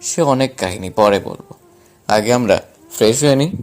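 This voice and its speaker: A man's voice: fairly low pitch, a little fast, darkish tone, soft background music, close to an everyday voice. A man playing a confident, defiant young romantic hero in an audio drama. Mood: calm, casual. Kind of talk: dramatised audio story dialogue.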